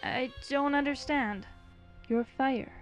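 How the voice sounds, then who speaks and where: A woman acting anxious, emotional female characters in a dramatized fantasy story, with halting delivery. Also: sits high, faint music behind. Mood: distressed.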